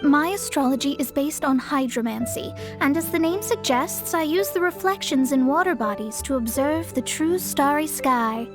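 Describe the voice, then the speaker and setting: A young woman voicing a proud female astrologist in a game cutscene, poised and lofty with a slightly condescending manner. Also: sits high. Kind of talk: video game cutscene voice acting. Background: soft game music.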